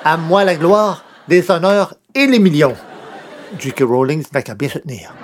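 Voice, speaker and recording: A man's voice: on the high side, expressive, moderate pace, a little hiss or hum, close to an everyday voice. A man playing a grandiose, easily exasperated would-be novelist in theatrical old-time radio comedy style. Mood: boastful. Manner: theatrical, pompous, emphatic. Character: grandiose, exasperated male newspaper columnist turned would-be novelist. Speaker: lead character of the comedy series.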